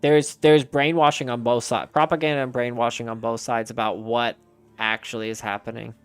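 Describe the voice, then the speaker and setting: A man's voice: mid-range voice, flat delivery, normal speed, clean tone, dry recording, faint music behind. A man streaming a game and chatting casually with his viewers, answering their messages aloud in a rambling way with frequent restarts.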